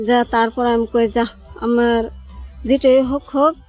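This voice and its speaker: A woman with a Bangladeshi accent telling her own story informally as a radio guest, in halting, repetitive phrases. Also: on the high side, level tone, darkish tone. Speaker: interview guest, garment factory worker. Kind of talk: informal personal storytelling in conversation. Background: music under the voice.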